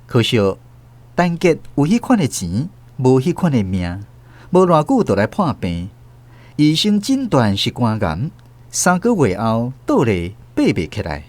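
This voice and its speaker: 一位男主持人以台語口音朗讀小說旁白，語調生動。. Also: male voice, mid-range voice, huge pitch swings, unhurried, a little mellow. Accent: Taiwanese Hokkien. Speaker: host and narrator. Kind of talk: scripted storytelling narration.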